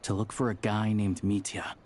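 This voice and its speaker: A man voicing a composed male guide in a video game, explaining things in a calm, matter-of-fact way. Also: on the low side, moderate pace, slight background noise, close to an everyday voice. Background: faint game music.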